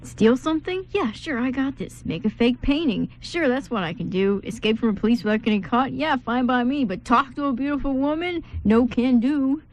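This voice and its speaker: A woman's voice: high voice, animated. Woman playing a nervous young thief, noisy recording. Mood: frustrated. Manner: nervous, halting, self-doubting. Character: insecure male thief best man, smitten and self-doubting. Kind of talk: amateur radio play script reading.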